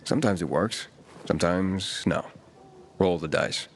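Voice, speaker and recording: A man voicing a wary male wanderer in a video game, speaking calmly and drily. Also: low-pitched, measured pace, vocal fry, a little hiss or hum. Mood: dry. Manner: calm, dry, laconic.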